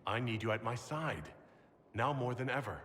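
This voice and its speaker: An actor playing an earnest, determined heroic leader in a dramatic game scene, speaking plainly. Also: male voice, medium pitch, moderate pace, fairly bright tone, big-room sound, slight background noise, lightly acted. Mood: concerned.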